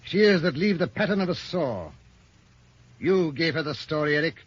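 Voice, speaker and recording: An actor playing a shrewd, stern veteran police inspector in 1950s radio drama style, authoritative and declamatory. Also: male voice, on the high side, expressive, moderate pace, husky. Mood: accusing, triumphant. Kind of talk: 1950s American radio crime drama acting. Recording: muffled, lo-fi old radio recording.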